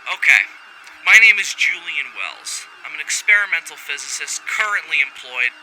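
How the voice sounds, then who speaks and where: A man's voice: on the high side, expressive, normal speed, close to an everyday voice. A man playing a foul-mouthed, rebellious scientist in a sci-fi audio drama, delivering a rambling, wry and theatrical recorded log that keeps interrupting itself. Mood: cocky, defiant. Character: brash, foul-mouthed rogue male scientist, giddy and rebellious. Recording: faint background alarm and machinery noise.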